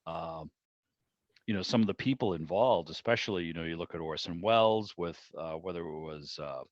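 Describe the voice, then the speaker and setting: Low-voiced man, roomy muffled call. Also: brisk. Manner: rambling, discursive, circles around his point. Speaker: interviewer, club meeting host. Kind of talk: casual interview chat. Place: indoors on a video call.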